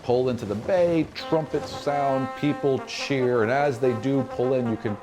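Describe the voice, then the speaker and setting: A man's voice: medium pitch, unhurried, over music. A man narrating a fantasy tabletop game as game master, descriptive and informal.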